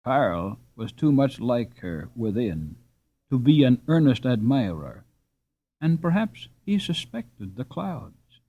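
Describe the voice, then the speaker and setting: Lively storybook reading by a man. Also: medium pitch, slow pace. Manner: gentle expressive reading with lilting emphasis. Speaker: audiobook narrator. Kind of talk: scripted storybook narration. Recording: dull lo-fi recording.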